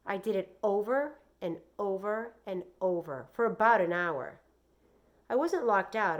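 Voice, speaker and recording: A woman reading aloud like a teacher to children, expressive and deliberate in her delivery. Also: medium pitch. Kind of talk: read-aloud with explanations and questions for children.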